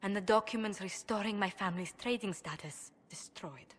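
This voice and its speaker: A woman's voice: mid-range voice, moderate pace, long reverb tail, lightly acted. An actress playing a proud, worried young noblewoman diplomat in a video game, speaking formally, precisely and earnestly. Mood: troubled. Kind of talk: video game cutscene acting.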